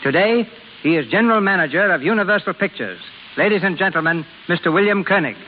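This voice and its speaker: A male 1930s radio host reads a script in a formal, stately way. His voice sits somewhat high. The old recording is narrow and tinny, with long reverberation. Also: expressive, fast, slight background noise.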